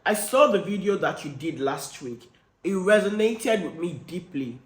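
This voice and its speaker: A man with a croaky cold voice reading the letter of a hurt, guarded woman in her late forties. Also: fairly low pitch, animated, normal speed, roomy sound, pitched above the performer's own voice, natural voice. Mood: confessional. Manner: earnest, confessional reading.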